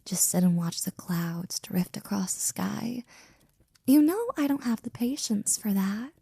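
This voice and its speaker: A woman playing a flirty, devoted maid in an intimate ASMR roleplay, breathy and often near a whisper, with a teasing, affectionate manner. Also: medium pitch, expressive, warm tone, no echo, exaggerated voice. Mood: wistful, flirty. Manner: teasing, affectionate, slow and drawn out.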